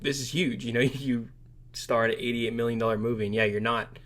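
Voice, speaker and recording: A man's voice: medium pitch, steady tone, a little fast, a bit bright. A man co-hosting a casual film podcast, chatting informally and somewhat tentatively, often trailing off. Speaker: podcast co-host. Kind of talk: casual movie discussion podcast.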